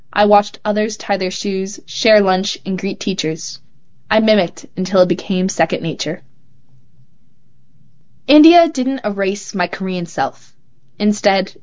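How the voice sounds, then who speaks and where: A woman hosting a show, reading young writers' poems and essays aloud in a calm, measured way. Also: mid-range voice. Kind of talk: measured read-aloud narration. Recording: slightly muffled, dull sound.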